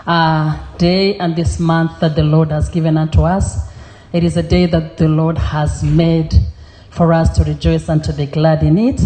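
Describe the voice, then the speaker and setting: A middle-aged woman from Kenya, giving an earnest testimony as a guest preacher in church, pausing often with fillers. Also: low-pitched, mellow. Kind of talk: testimony sermon.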